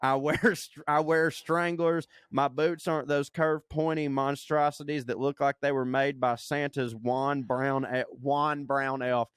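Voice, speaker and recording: A man reads a listener's email on his podcast, breaking off for crude, amused asides. His voice is smooth and resonant, and the sound is close and dry with no room echo. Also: medium pitch, steady tone, measured pace, few pauses. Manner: profane, laughing, reads aloud with asides. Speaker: podcast co-host. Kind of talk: casual chat reading listener email.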